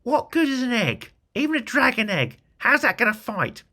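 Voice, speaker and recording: Man putting on a squeaky, high, doubtful goblin. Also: very animated, moderate pace, bright, forceful tone, full character voice. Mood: puzzled, doubtful. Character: third goblin, squeaky, doubtful, spider-munching minion.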